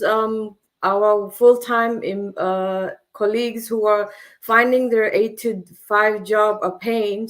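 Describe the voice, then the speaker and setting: Female host from Fiji, mid-range pitch, formal and a bit halting, live online business chat.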